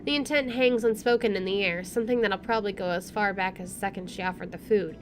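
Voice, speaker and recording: A woman streamer reading a visual novel's narration aloud in an expressive, storytelling style. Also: medium pitch, quick pace. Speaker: let's play streamer. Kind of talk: expressive read-aloud narration of game text. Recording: faint background noise.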